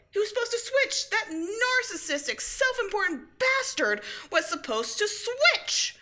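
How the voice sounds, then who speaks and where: A woman's voice: very high voice, pushed and bright, full character voice. A woman playing a sardonic, commanding supervillain in a scripted audio roleplay, biting and contemptuous as she tends a captive sidekick. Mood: furious, frantic.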